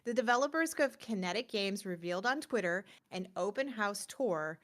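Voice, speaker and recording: A woman's voice: medium pitch, lively intonation, normal speed. Casual woman chatting as a gaming show host. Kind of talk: casual chat about video games.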